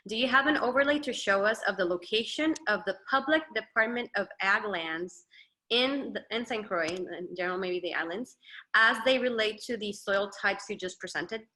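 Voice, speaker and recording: Webinar moderator, a woman with a bright, crisp voice, reads questions. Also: mid-range voice, rough recording. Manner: reads out audience questions, friendly thanks. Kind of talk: moderating a live Q&A.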